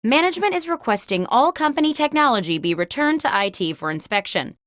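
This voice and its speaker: A woman playing a video game host who poses workplace questions like an office interviewer, in scripted, clearly enunciated prompts. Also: high-pitched, sing-song, a little fast, fairly bright tone, no echo, clean studio sound. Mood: composed, quizzical.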